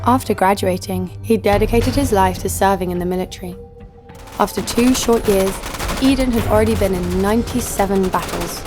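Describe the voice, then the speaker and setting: A woman playing a jaded, sardonic killer who narrates her own story in a deadpan way for an audio drama. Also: mid-range voice, brisk, music in the background, close to an everyday voice. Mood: wry, bitter. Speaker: narrator and lead actor. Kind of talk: first-person scripted narration.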